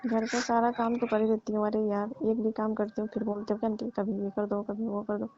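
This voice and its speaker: A woman's voice: medium pitch, monotone, a little fast, a little hiss or hum, lightly acted. A woman playing a tired, aggrieved housemaid aunty in a comic phone-call skit, grumbling reproachfully in a familiar way. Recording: muffled lo-fi dry phone call recording.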